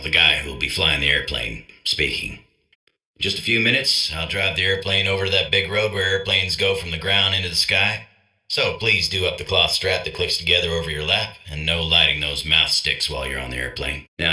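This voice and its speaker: A man's voice: low-pitched, bright, forceful tone, roomy sound, full character voice. A man playing a clueless, casual airline pilot in a comic game, rambling through announcements in plain words. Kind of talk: comic video game character acting.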